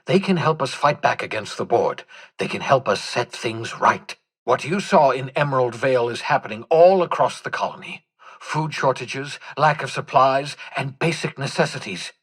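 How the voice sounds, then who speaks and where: An actor playing an eccentric, vain older mad scientist in a video game, with a husky voice and a theatrical, erudite, rambling manner. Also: male voice, mid-range voice, expressive, normal speed, a bit bright. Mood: earnest, urgent. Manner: theatrical, erudite, rambling, swinging from mutters to exclamations. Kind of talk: video game character dialogue.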